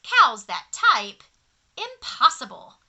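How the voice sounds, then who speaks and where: An exasperated farmer as a woman storyteller puts him on, loud and very bright. He is indignant and disbelieving, stretching his words out for emphasis in a storybook style. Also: sits high, wildly swooping pitch, exaggerated voice. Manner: emphatic, drawn-out exclamations.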